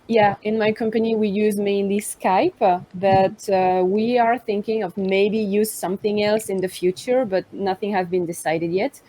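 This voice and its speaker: A young woman from northern France speaks with a French accent and a warm, mellow tone. She chats casually over a video call, with plenty of fillers, and sits at an ordinary middle pitch. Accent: French, Normandy. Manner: chatty, self-introducing with fillers. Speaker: interviewee. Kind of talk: casual online interview.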